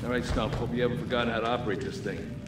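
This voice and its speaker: A man's voice: medium pitch, steady tone, racing, very noisy, natural voice. A man playing a terse, commanding special forces operator in a military shooter game. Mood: tense, low-key. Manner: terse, commanding, clipped orders. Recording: loud music and gunfire behind.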